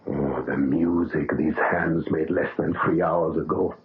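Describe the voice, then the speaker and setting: An actor playing an older male surgeon and father, grave and halting, in a 1970s radio drama. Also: rumbling low, fairly even intonation. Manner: halting, hesitant, many uh's. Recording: tinny old radio broadcast with faint hiss.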